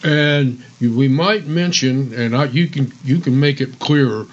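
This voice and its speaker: A man's voice: mid-range voice, a little slow, highs cut off. A man co-hosting a radio show in casual conversation.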